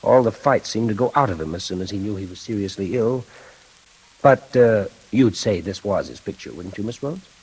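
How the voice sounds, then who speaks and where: An actor playing a patient, reassuring and authoritative prison warden in an old-time radio drama, with a measured, avuncular manner. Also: male voice, fairly low pitch, a little mellow, husky, low-quality recording. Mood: grave, gentle.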